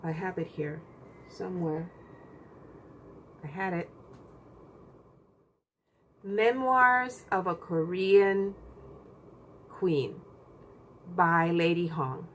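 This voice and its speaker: Slow-talking woman book vlogger. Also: on the low side, a little hiss or hum, low-quality recording. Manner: unhurried, chatty, many fillers. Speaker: BookTube channel host. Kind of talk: casual book tag vlog.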